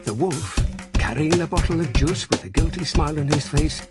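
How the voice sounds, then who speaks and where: A man reading a scripted children's story as an expressive, dramatic narrator. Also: on the high side, moderate pace, loud music behind the voice.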